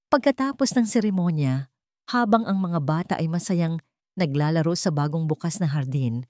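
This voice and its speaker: A woman narrating an audiobook story in a deliberate, emotive, dramatic style with pauses for effect. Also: sits low, lively intonation, darkish tone, no room sound, clean studio sound.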